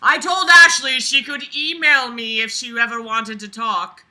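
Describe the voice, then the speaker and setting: A woman's voice: on the high side, steady tone, measured pace, bright, forceful tone. A woman reading a book aloud and breaking in with sarcastic, exasperated, foul-mouthed commentary. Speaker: online book reader and commentator.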